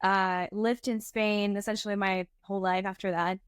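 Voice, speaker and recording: A young woman in her twenties from Venezuela, telling her story as an interview guest in a chatty, animated way with many fillers. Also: mid-range voice, normal speed, clear, resonant tone, no echo, studio quality. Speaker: startup co-founder, guest. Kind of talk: casual interview talk.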